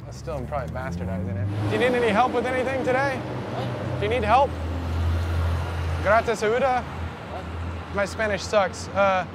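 A man in his twenties filming a vlog, joking and self-deprecating as he calls out to people on the street. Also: sits high. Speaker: vlogger doing a public stunt. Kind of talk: casual self-filmed vlog. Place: indoors then on a busy city street. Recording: street noise behind the voice. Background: traffic and street noise.

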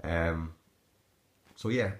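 A man's voice: low voice, drawn-out words, echoey room. A man with a Scottish accent giving a casual, unscripted review in a hesitant, rambling way, recorded on a phone in a living room. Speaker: amateur gadget reviewer. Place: indoors in a living room at home. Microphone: handheld phone camera.

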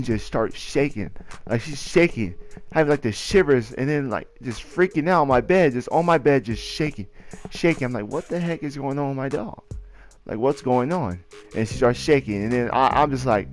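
A young man telling personal stories in a casual, rambling, slangy and excitable way. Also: mid-range voice, brisk. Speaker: video creator telling personal ghost stories. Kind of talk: casual storytelling over gameplay. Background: music.

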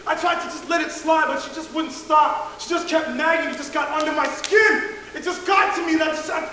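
A man's voice: very high voice, normal speed, very echoey, big-room sound, far from the mic, heavy background noise. An actor playing an anguished, volatile man in an intense dramatic confession monologue. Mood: strained confession.

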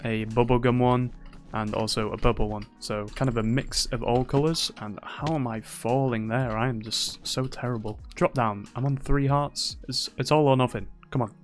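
A man's voice: mid-range voice, steady tone, normal speed, faint music behind. A young man giving casual, playful gameplay commentary as a gaming YouTuber, teasing opponents and boasting jokingly, his voice a little worn. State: slightly losing his voice.

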